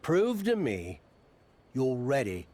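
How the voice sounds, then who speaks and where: A man's voice: medium pitch, wildly swooping pitch, slow, a little mellow, heavily acted. A man playing a blunt, supportive British wrestling promoter and mentor in a video game cut scene, with a wry manner. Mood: wry, persuasive.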